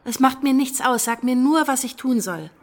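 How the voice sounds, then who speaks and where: An actress playing the anxious, devoted wife of a revolutionary in a radio drama. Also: female voice, high voice, expressive, moderate pace. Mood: anxious pleading.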